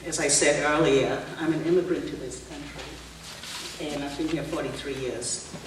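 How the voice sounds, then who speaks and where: A woman's voice: low-pitched, fairly even intonation, unhurried. Woman in her sixties, echoey hall. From Burma. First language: Burmese. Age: 60s. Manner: anecdotal, laughing asides, rhetorical questions. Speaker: political candidate. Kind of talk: candidate forum answer. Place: museum event hall. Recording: echoey hall, distant mic, background noise.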